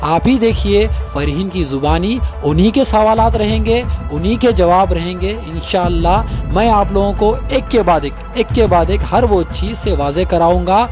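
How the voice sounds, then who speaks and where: A man's voice: sits high, normal speed, hardly any pauses, over music. A man with a Hyderabadi accent addressing viewers in an accusatory, emphatic way, repeating his phrases. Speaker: host of a clarification video.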